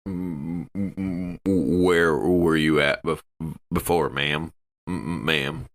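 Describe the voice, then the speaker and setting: A man's voice: extremely low pitch, steady tone, slow, heavily acted. A man playing a courteous, confused spaceship crewman in a tabletop game, hesitant and stammering. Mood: wary, puzzled. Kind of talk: tabletop role-play acting.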